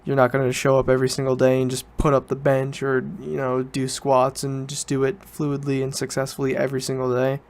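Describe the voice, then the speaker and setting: A man's voice: mid-range voice, completely flat delivery, fairly quick, few pauses, a little mellow. A young man, student age, talking casually and informally as a solo podcast host, rambling and correcting himself as he goes. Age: teens to young adult student.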